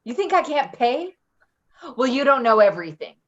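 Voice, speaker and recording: A woman's voice: high-pitched, big pitch swings, normal speed, dull audio. A woman acting a tough, defensive ex-convict in a play reading, blunt and combative in manner. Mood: angry, defensive. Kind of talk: dramatic play reading, naturalistic dialogue.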